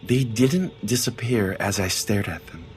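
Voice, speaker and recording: Male podcast narrator, lowish voice, wry dramatic first-person comic storytelling over soft music. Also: a little slow.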